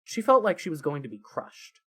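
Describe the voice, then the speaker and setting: A narrator reading scripted fan fiction as a dramatic story, with deliberate pauses. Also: medium pitch, sing-song, a bit bright, breathy edge.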